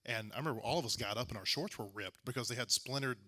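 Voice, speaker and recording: A man hosts an interview in a casual, conversational style. His voice is bright and forceful at an average male pitch, and he slips informally into anecdotes between questions. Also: fast. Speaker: host and sports journalist interviewing a guest.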